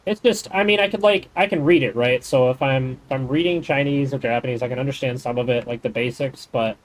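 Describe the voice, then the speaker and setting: A man's voice: medium pitch, a little fast, poor audio. A man streaming a game, chatting casually and explaining the game's mechanics in detail. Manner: rambling, thinks aloud. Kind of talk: casual gaming chat.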